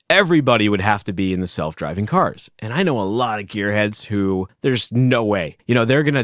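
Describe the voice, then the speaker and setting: A man hosting a solo podcast from his basement, talking loudly and casually, rambling and chuckling as he goes. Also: medium pitch, no echo, clean studio sound. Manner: animated, rambling, self-interrupting, chuckles often; loud voice. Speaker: podcast host. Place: home basement.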